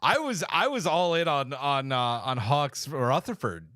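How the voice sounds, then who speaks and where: A man with a smooth, clear, medium-pitched voice chats casually as a podcast host. The recording is dry. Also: lively intonation, a little slow, bright, forceful tone, dry recording. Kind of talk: casual chat.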